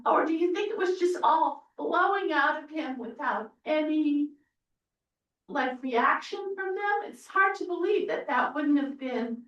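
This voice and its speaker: Woman, moderator, slow, searching, echoey hall, far off. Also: high voice, lively intonation, a bit bright. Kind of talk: panel discussion. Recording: dull, roomy, far from mic.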